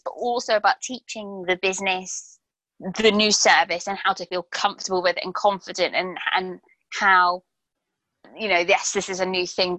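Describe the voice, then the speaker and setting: A woman's voice: medium pitch, sharp tone, no echo, rough recording. A woman hosting a casual interview, chatty and encouraging with her guest. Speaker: podcast host interviewing a guest.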